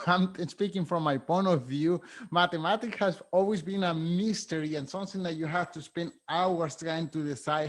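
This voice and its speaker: A man with a fairly high voice hosts an interview podcast. He opens with a read introduction, then asks rambling, curious questions and laughs between them. He sounds friendly and chatty. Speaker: podcast host.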